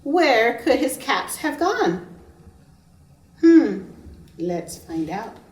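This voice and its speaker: Slow-paced woman reading aloud, echoey room. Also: on the high side, big pitch swings, a little mellow. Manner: emphatic, pausing to ask listeners questions. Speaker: preschool teacher. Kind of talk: read-aloud storytelling for young children. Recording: roomy echo, distant microphone.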